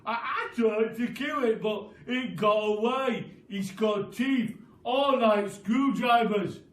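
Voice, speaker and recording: A man voicing a mean, mischievous storybook husband with a loud, emphatic, alarmist delivery. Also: extremely high pitch, slow, voice pushed up, full character voice. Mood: mock alarm. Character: mean, mischievous husband scaring his wife. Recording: roomy, distant mic with noise.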